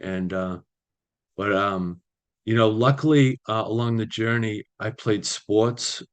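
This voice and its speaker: A man, sharing his recovery story at a meeting over a video call, halting with many fillers. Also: fairly low pitch. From Boston area, US. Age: 60s. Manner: halting, many ums and you-knows, restarts. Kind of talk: personal recovery share.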